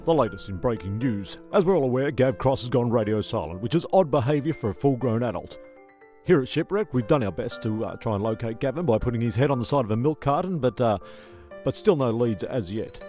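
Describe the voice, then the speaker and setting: An easygoing castaway and mock newsreader played by a man in a comedy audio drama. He speaks at an average pitch in a matter-of-fact, mock-formal way, over faint music. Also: animated, a little fast, dark tone, close to an everyday voice. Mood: mock-serious.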